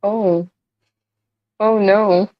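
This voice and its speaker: A teenage girl with a deep voice and a sore throat, sarcastic and deadpan, who swears casually. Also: extremely slow. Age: late teens. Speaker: video chat stranger. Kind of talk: casual chat. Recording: lo-fi video chat audio.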